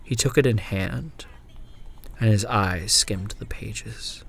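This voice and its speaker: A man performing a frontier gunslinger's first-person horror narration, in a hushed, deliberate delivery with drawn-out phrases and swelling emphasis. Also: lowish voice, vocal fry, husky, full character voice. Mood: haunted, grieving. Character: woman frontier gunslinger recounting her haunted, lost memories. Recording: music bed under the narration. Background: music.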